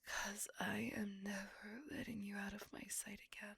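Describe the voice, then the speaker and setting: A lovesick female vampire, acted by a woman, whispers in an intimate ASMR roleplay. Her voice is extremely breathy with a crackle of fry, and recorded very dry. She sounds possessive, melancholy and seductive, with dramatic, drawn-out phrasing. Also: medium pitch, little pitch movement, fairly bright tone, no echo, heavily acted. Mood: obsessive, seductive.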